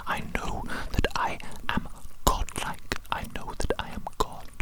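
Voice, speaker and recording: A performer whispering an ASMR roleplay as a megalomaniac evil scientist, theatrical and deliberate, often repeating phrases. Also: low-quality recording. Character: megalomaniac evil scientist doting on his creation.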